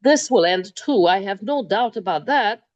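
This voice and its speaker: A woman, hosting a talk in a thoughtful way, pausing with many ums. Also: medium pitch, expressive, muffled recording. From Transylvania, Romania. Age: 50s. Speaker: interview host. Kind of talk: moderated talk.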